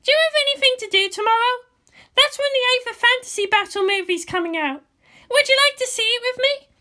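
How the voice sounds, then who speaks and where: A woman's voice: extremely high pitch, fairly quick, sharp tone, voice pushed up. A woman voicing a proud, brash but vulnerable teenage girl in a fan fiction reading. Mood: shyly eager.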